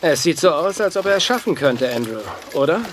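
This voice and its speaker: An actor in a German audio drama playing a learned, grumbling, anxious companion, speaking in a dry, wordy, mannered way. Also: male voice, on the high side, animated, a little fast, slight background noise, natural voice. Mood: worried, dry.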